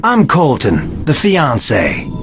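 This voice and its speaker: An actor playing a tired, sardonic young fiancé in a video game, with a dry, sarcastic and weary way of talking. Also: male voice, medium pitch, huge pitch swings, takes it slowly. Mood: wry, weary. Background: music.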